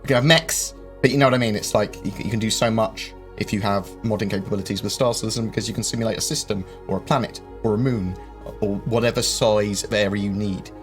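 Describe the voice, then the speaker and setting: A fairly low-voiced man answers viewer questions on a live stream. He rambles, fills gaps with um, and throws in quick jokes. Faint game music plays underneath. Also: fairly even intonation.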